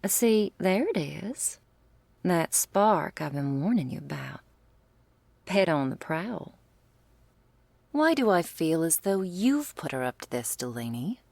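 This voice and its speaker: A woman acts out a doting female vampire in an intimate ASMR roleplay. Her voice sits low with a creaky edge, and she takes her time. She is wryly playful and old-fashioned, recorded close and dry. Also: big pitch swings, slow pace, long pauses, a little mellow, no echo, full character voice. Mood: teasing. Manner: breathy asides, pet names, quaint turns of phrase.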